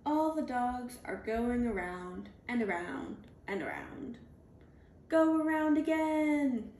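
A woman reading a children's picture book aloud, deliberately, in clearly spaced phrases for young children, recorded indoors at home. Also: on the high side, sing-song, darkish tone. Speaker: storybook reader. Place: indoors at home, on a leather couch. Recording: roomy home recording with background noise.

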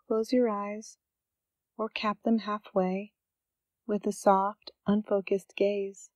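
A woman leading a scripted guided meditation, giving gentle, calm instructions with unhurried pauses. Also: mid-range voice, dry recording.

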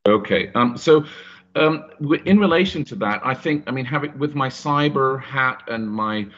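A man speaking on a panel over a video call, halting with many restarts and fillers. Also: mid-range voice, fairly bright tone. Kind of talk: panel discussion. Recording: muffled video call audio.

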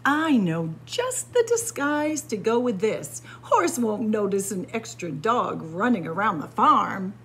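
A woman's voice: mid-range voice, sing-song, slow, few pauses, fairly bright tone, slight background noise. A woman voicing an eager, easily dismayed male turkey in a children's storybook, earnest and exclaiming. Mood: eager scheming. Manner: earnest, exclaiming, gobbling when upset. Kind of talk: children's storybook character reading.

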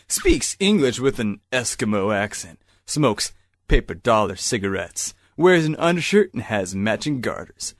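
A pompous 1930s hotel detective from an audio drama, full of bumbling self-assurance. His medium-pitched voice rises and falls dramatically as he declaims his deductions. The recording is dry and close. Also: dry recording. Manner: pompous, rattling off absurd deductions.

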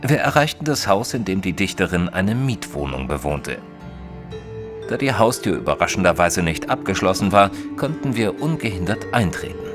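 A man playing a thoughtful detective's companion who narrates a mystery audio drama in a measured, literary way. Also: low voice. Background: music under the voice.

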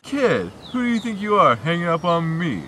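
A man's voice: on the high side, very animated, moderate pace, a bit bright. A man in his twenties acting out a casual, slangy phone chat in a comedy sketch, with exaggerated, teasing delivery. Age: 20s. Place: outdoors on steps by a sports field. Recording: outdoor camera audio, birds, ambient noise.

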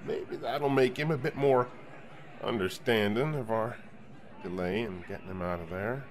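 A man's voice: on the low side, normal speed, lightly acted. A man playing a dutiful, respectful starship captain with a grim, dryly wry manner in a science fiction audio drama. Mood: reassuring.

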